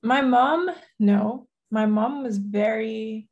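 A woman's voice: a little high, slow, darkish tone, highs cut off. A young woman from Saint Lucia, a guest on a video call, chatting casually about her background. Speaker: guest athlete. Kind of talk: casual interview chat. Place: home room, indoors.

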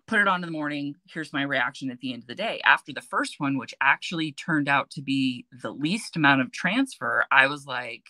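Deep-voiced woman with a dry sound. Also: brisk, hardly any pauses, dry recording, rough recording. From United States. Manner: chatty storyteller, animated, gestures while talking. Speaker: beauty influencer, guest. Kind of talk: casual chat on a livestream. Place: walk-in closet with shelves, indoors. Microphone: webcam on a video call.

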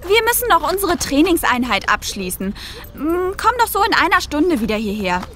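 An actress playing a brisk, sensible young girl footballer in a children's audio drama. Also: high-pitched, very animated, pushed and bright, exaggerated voice. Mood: brisk, organising.

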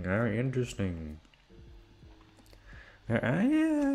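A man's voice: lowish voice, creaky, darkish tone. Rambling casual young man. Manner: rambling, self-deprecating, many fillers. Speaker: voice actor. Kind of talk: casual outro chat.

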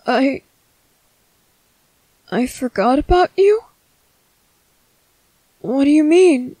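Woman voicing a gentle, high-pitched young merboy. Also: animated, extremely slow, dry recording, full character voice, poor audio. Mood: confused, hesitant. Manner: soft, hesitant, soothing. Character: gentle, shy, worried young merboy comforting someone. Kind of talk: ASMR roleplay acting.

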